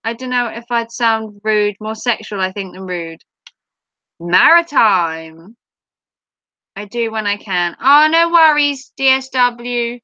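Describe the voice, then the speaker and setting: A chatty, playful woman talks to her livestream viewers in a high, bright voice that is starting to go hoarse. The sound is dry and close, with a slightly dull, muffled quality. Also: expressive, slow, no room sound. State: voice going hoarse. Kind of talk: casual chat with viewers.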